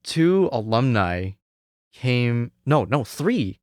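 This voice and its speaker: A man's voice: medium pitch, very animated, very slow, a little mellow, no echo, studio quality. A young man from Hong Kong whose first language is Cantonese, a music student answering interview questions casually, with hesitant speech full of fillers and restarts. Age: young adult. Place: recording studio.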